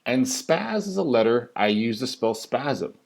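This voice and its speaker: A man who works as a teacher reads a children's story in rhyming verse, with an expressive, sing-song delivery that stresses key words. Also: mid-range voice, a little slow, gravelly. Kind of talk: animated storybook read-aloud of rhyming verse.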